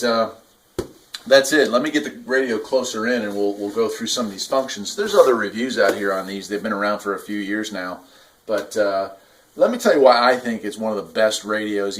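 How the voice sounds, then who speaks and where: A man's voice: on the low side, a bit bright, a little hiss or hum. A man giving a casual, unscripted product review, explaining things hands-on in a rambling, enthusiastic hobbyist way. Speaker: radio hobbyist reviewer and video host.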